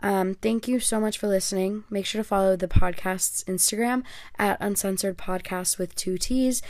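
A young woman, Greek by first language, hosts a solo podcast in a rambling, self-deprecating chat full of little fillers. Her voice has a gravelly, fry-tinged texture at an ordinary pitch. The sound is dry and close, with no room around it. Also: steady tone, moderate pace, a little mellow, no room sound. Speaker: podcast host. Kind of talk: casual solo podcast talk.

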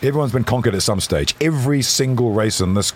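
A middle-aged man with a deep voice, chatting casually on a podcast and swinging between high, animated bursts and very low tones. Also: quick pace, slight background noise. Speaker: podcast guest.

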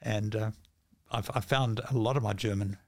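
An older male podcast guest speaks in a fairly low voice. He talks conversationally, halting and repeating words as he goes. The recording is dry and close. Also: fairly even intonation, no room sound. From New Zealand. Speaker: podcast guest, magician and author. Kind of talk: casual conversation.

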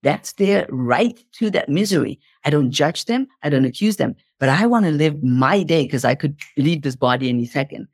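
A woman's voice: deep voice, lively intonation, normal speed, few pauses, dry recording, studio quality. A woman speaking as an interview guest, explaining in an impassioned motivational style and repeating phrases for emphasis.

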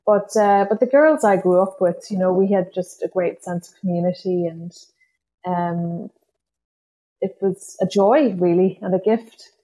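A woman from Ireland with an Irish accent, a guest poet whose first language is Irish, speaking reflectively in an interview, pausing and restarting often. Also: lowish voice, warm tone, low-quality recording. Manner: thoughtful, hesitant, many restarts and fillers.

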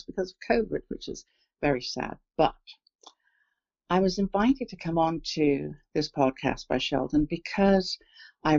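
An older woman with a blend of southern English and Canadian accent tells a story in a wandering, chatty way, stopping often for fillers and restarts. Her voice has a gravelly fry to it. The recording is dull and dry, like a lo-fi remote call. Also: low-pitched, darkish tone, no echo. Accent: mix of southern English and Canadian. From England, raised in Canada. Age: 60s. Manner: rambling, many fillers and self-corrections. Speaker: guest telling an encounter. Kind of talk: casual storytelling.

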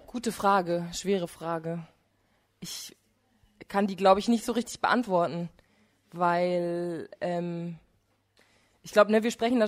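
Low-voiced woman answering earnestly. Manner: earnest, hesitant, searching for words. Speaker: actress answering a school class. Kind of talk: thoughtful talk with students.

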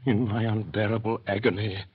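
Grave, anguished prisoner-doctor, deep measured radio-drama narration, dull old recording. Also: male voice, flat delivery, husky. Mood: bitter anguish. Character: imprisoned French doctor, anguished, solemn, embittered.

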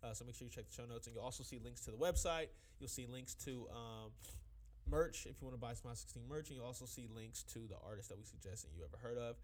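A young man hosting a hip-hop podcast in casual, slangy chat, a bit sick and sniffly. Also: mid-range voice. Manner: laid-back slangy talk, quick and loose. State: under the weather, sniffly. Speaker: podcast host.

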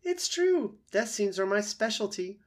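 A man's voice: sits high, sing-song, unhurried, voice pushed up. A man voicing a chatty, friendly female opossum with a theatrical, exaggerated delivery for a storybook read-aloud. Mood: theatrical, proud.

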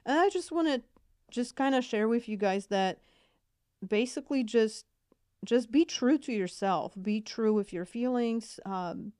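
A woman's voice: on the high side, lively intonation, a little slow, dark tone, clear, resonant tone, dry recording, studio quality. A woman with a Polish accent, co-hosting a podcast in a casual, earnest and hesitant way. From Poland. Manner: earnest, hesitant, repeats words. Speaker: podcast co-host, mental health nurse practitioner.

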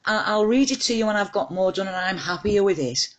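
A woman's voice: medium pitch, expressive, brisk, pitched above the performer's own voice, lightly acted. A woman voicing a scatterbrained, easily distracted woman writer in a short story, chattering to herself in a flustered way. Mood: bright, evasive. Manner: chatty, flustered self-talk. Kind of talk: short story character dialogue.

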